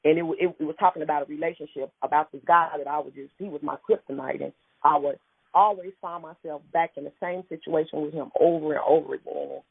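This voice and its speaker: A woman's voice: low-pitched, steady tone, quick pace, gravelly, husky, no echo. A woman speaking as a poet and guest in a reflective, conversational way over a tinny phone line. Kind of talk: conversational, reflective talk and poetry reading.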